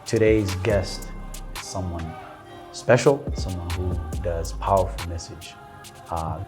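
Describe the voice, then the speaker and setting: A man hosting a community interview show, welcoming his guest and asking halting, encouraging questions. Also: low voice. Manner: affirming, frequent backchannels, halting questions. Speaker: host. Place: studio.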